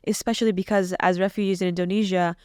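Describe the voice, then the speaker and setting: Young woman guest from Iran, smooth clear voice, dry studio sound, earnest and reflective delivery. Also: medium pitch, steady tone, fast, dry recording. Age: young adult. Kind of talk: podcast interview. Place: small podcast studio. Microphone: boom mic on stand.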